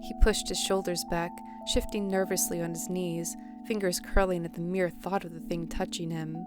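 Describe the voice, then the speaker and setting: A woman's voice: on the low side, little pitch movement, normal speed. A woman reading scripted story narration for an audio drama. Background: music.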